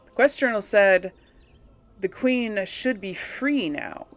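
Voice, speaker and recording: A woman's voice: medium pitch, animated, slow. A woman giving casual, chatty let's play commentary, thinking aloud and asking herself questions as she goes. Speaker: video game streamer and series host.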